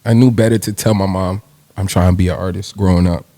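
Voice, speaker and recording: Laid-back young rapper guest, dark low voice, dry close studio sound, slangy and profane. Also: male voice, fairly even intonation, quick pace, no room sound. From New Jersey, USA. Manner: laid-back slangy, swears freely, laughs. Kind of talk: casual interview chat.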